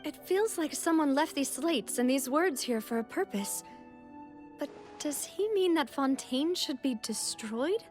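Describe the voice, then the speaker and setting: A woman's voice: high voice, lively intonation, unhurried, soft background music. An actress playing a thoughtful young woman in video game dialogue, reasoning aloud in an earnest way.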